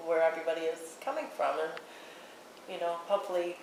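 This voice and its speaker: An earnest woman host sums up a group discussion in a slightly low voice. She sounds far from the mic in a very echoey room with faint noise behind. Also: quick pace. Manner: earnest, appreciative, wraps up the discussion. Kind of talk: round-table discussion.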